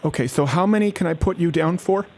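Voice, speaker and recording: A man's voice: fairly high pitch, fast talker, darkish tone, a little hiss or hum. A man making a prank phone call as an aid worker, pressing on persistently with mock earnestness. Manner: persistent, falsely earnest salesman-like pushing. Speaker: prank caller posing as refugee aid worker.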